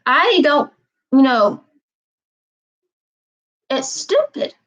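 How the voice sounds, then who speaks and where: A woman's voice: high-pitched, very animated, drawn-out words, a bit bright, highs cut off. A woman in her twenties chatting casually on a live stream, wandering and repeating herself. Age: 20s. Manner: meandering, repeats herself, frequent "um". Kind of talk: rambling casual live chat.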